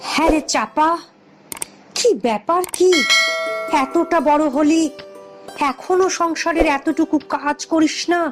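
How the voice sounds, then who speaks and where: A woman's voice: high voice, a little slow, long pauses, music in the background. A woman playing a weary, patient old village mother in a cartoon, chiding and pleading in a plaintive way. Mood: scolding.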